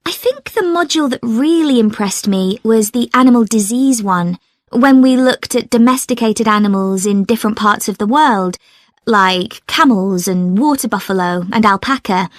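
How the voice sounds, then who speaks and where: A woman's voice: a little high, normal speed, no echo, clean studio sound, close to an everyday voice. An actress playing a thoughtful young female student in a scripted study discussion. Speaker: actor in a listening test dialogue.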